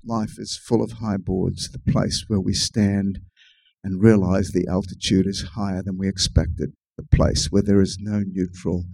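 A man's voice: low-pitched, little pitch movement, a little slow, vocal fry, darkish tone, poor audio. A man preaching a sermon to his congregation, pushing key phrases up with passionate, surging emphasis. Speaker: pastor.

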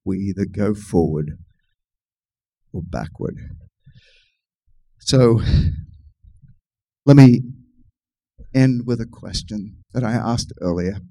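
A man's voice: on the low side, expressive, slow, creaky, pauses often, warm tone. A man preaching a sermon to his congregation, pushing key phrases up with passionate, surging emphasis. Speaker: pastor.

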